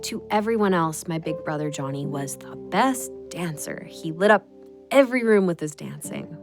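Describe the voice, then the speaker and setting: A woman playing a tender, grieving younger sister in an intimate audio drama, speaking in a gentle, wistful way. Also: lowish voice, very animated, normal speed, music in the background.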